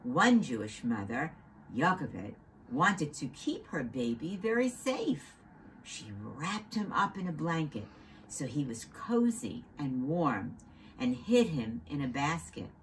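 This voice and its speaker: A woman tells a children's story in a low, highly animated voice. Her delivery is dramatic and expressive, and there is audible noise behind her. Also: slow. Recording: noticeable background noise.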